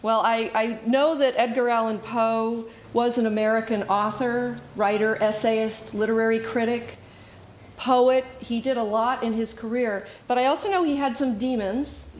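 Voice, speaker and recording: A woman's voice: a little high, fairly even intonation, moderate pace, slight background noise. A woman hosting a community library program, speaking in a friendly presenting and interviewing style. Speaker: host, library program coordinator.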